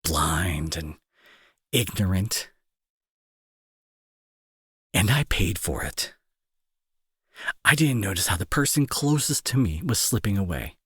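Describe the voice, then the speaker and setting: A very husky, breathy bully turned protector , acted by a man in an intimate audio drama. His pitch is on the low side and swings widely as he speaks in halting, pleading, emotional phrases. The sound is dry and close. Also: fairly bright tone, no echo, heavily acted. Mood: grieving, regretful. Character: angry male bully turned remorseful, protective, grieving brother.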